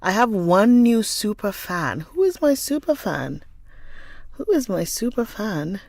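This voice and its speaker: A woman with a stuffy, nasal voice from a cold, chatting warmly with livestream viewers and calling them darling, then reading a novel aloud. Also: medium pitch, expressive, unhurried, gravelly, no room sound. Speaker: livestream book reader.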